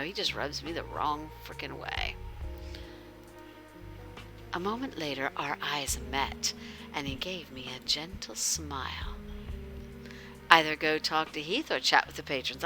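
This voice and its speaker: A woman hosting a livestream, mixing playful chat with theatrical story narration and dropping at times into whispers. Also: low voice. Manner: animated, theatrical, drops into whispers. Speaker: livestream host reading a visual novel with chat.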